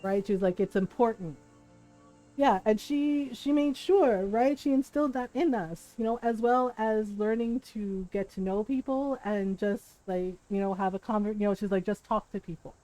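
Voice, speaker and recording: A woman chatting casually on a live game stream in a candid, sweary, animated storytelling way, who grew up speaking Tagalog, English and Spanish. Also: mid-range voice, normal speed, faint music behind, rough recording.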